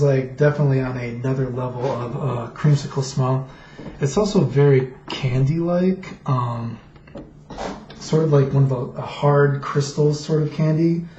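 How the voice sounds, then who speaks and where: Deep-voiced young man rambling casually. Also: mellow, echoey room, highs cut off, slight background noise. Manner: rambling, many fillers and restarts. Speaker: vlogger reviewing fragrances. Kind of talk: casual vlog review. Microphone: quiet desk microphone.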